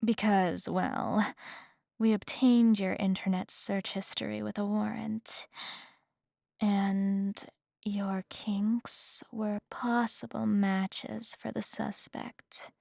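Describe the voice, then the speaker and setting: Slow-talking, flirty female police detective, acted by a woman. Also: medium pitch, little pitch movement, vocal fry, darkish tone, no room sound, studio quality. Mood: coy, probing. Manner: slow, teasing, coy delivery with pauses. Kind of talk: ASMR roleplay, spoken directly to the listener.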